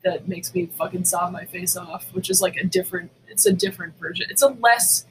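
A woman's voice: lowish voice, big pitch swings, brisk, gravelly, slight background noise, rough recording. A woman of about forty co-hosting a podcast, chatting casually and bluntly with heavy swearing. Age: around 40. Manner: blunt, heavily profane. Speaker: podcast co-host. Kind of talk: casual chat.

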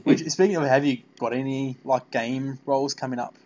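A man hosting a casual podcast interview, talking in a halting, meandering way. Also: mid-range voice, steady tone, darkish tone. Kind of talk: casual fan interview chat. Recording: dull, lo-fi podcast audio.

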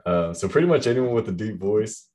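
Young man, very low deep voice, loose rambling chat, heavy fillers. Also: lively intonation, brisk, warm tone. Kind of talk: casual chat about music.

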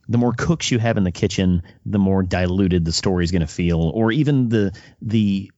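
A man's voice: low voice, a little mellow, no echo, dull audio. A man interviewing on a podcast, conversationally offering thoughtful opinions and building his sentences phrase by phrase.

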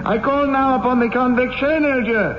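A man's voice: extremely high pitch, normal speed. A man playing a formal, commanding presiding judge in an old-time radio drama, declaiming in an orderly official way. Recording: dull band-limited radio drama recording with music.

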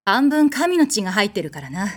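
A woman playing a haughty, quick-tempered warrior goddess in an audio drama, with blunt, commanding, masculine phrasing. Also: medium pitch, sing-song. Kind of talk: anime-like audio drama acting with narration.

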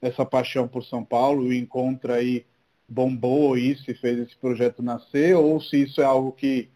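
A man's voice: mid-range voice, level tone, moderate pace, dark tone. A man from Rome, a native Italian speaker, conducting a casual, conversational interview. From Rome, Italy.

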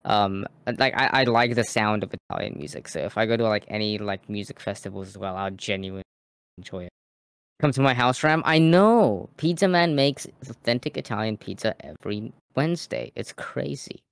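Brief: young male streamer co-host, smooth clear voice, excitable and slangy, dry mic. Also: on the low side, fairly quick, no room sound. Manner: excitable, reads chat aloud, slangy. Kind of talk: casual chat.

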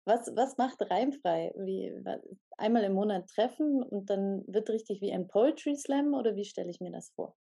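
A woman's voice: medium pitch, moderate pace, vocal fry, dark tone, husky. A woman hosting a radio interview, asking curious questions in a friendly, casual way and laughing often.

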